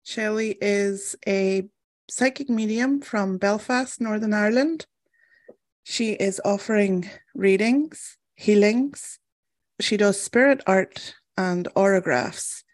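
A woman hosting an interview, asking unhurried questions and reacting often with "wow" and "yeah". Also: medium pitch, a little mellow, no room sound. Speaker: podcast host. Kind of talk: interview chat.

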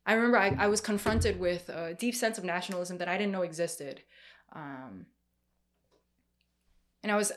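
A woman's voice: on the low side, a bit bright. A young woman with a Korean family background, preaching a sermon in a conversational way with many fillers and restarts.

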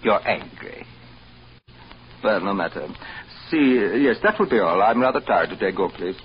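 Haughty hotel manager, played by a man: deliberate, drily sarcastic, superior, very inflected, on a dull, faintly noisy old radio recording. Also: fairly low pitch, animated, close to an everyday voice. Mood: condescending. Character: haughty, condescending male hotel boss, testy and needling. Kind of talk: old-time radio drama acting.

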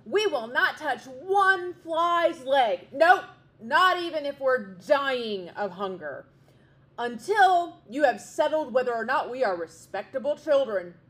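A woman voicing a brood of young wren birds, shrill, loud and indignant. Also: big pitch swings, slow pace, full character voice. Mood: outraged.

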